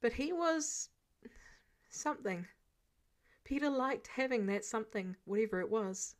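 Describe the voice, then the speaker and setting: A woman's voice: fairly high pitch, animated, unhurried. A woman reading scripted fiction aloud as a narrator. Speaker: podfic narrator.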